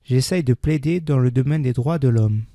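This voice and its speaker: Creaky-voiced man reading French slowly. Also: medium pitch, dark tone, dry recording. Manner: repeats each sentence, deliberate diction. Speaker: French language teacher. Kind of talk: slow scripted reading for learners.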